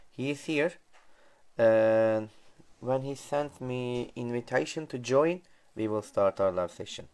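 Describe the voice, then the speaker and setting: A man's voice: lowish voice, darkish tone. A man with a Turkish accent hosting a casual live online interview, speaking politely and full of thanks in repetitive phrases. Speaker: host of an online teacher talk series. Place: online video call live stream. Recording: dull, lo-fi live-stream audio.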